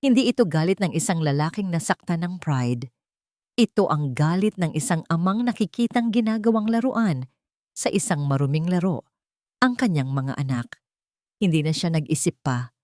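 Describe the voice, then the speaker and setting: A woman narrating a dramatic scripted love story in a steady storytelling manner. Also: sits low, no echo, clean studio sound.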